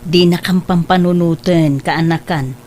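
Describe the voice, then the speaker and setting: A woman's voice: on the low side, steady tone, a little slow, darkish tone, natural voice. A woman voicing an affectionate aunt in a family drama, with faint hum behind. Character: caring aunt, warm and doting.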